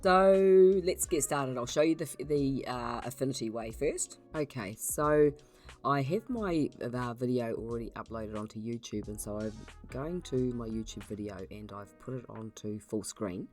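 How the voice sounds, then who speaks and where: A woman with a croaky voice from being unwell, hosting a casual software tutorial and explaining her steps in a chatty way with many ums. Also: extremely low pitch, animated, unhurried, faint music behind. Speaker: YouTube channel host.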